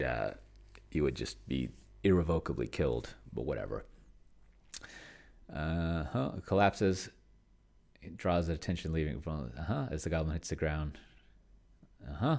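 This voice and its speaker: A man thinking aloud in casual commentary as he plays a roleplaying game, often muttering, trailing off and drawing words out while typing. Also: low voice. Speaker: solo tabletop roleplayer and video host. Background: computer keyboard typing.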